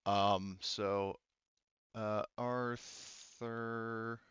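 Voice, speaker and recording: A low, smooth male voice, dry and slightly muffled. He is a game master talking through a tabletop session casually, pausing often as he works things out aloud. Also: little pitch movement, fairly bright tone, dry recording. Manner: halting, thinking aloud.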